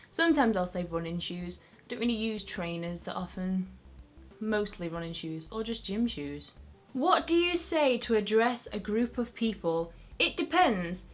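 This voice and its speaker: A young woman with a broad Northern English accent talks straight to her viewers. Her voice sits fairly high, and she rambles in a chatty, self-mocking way. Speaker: beauty vlogger. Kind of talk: casual chat to camera.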